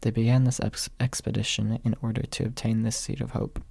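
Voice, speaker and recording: Hushed, creaky-voiced young man reading aloud. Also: medium pitch, little pitch movement, a little fast, no echo. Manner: soft-spoken, hushed late at night. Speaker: amateur audiobook reader. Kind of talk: ASMR, quiet storybook narration. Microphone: close USB microphone without pop filter.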